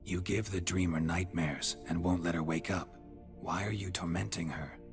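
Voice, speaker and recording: An actor playing a laconic, dry-witted monster hunter in a video game, gravelly and terse. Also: male voice, deep voice, normal speed, over music. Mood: calm, firm. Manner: terse, deadpan. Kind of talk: video game character acting.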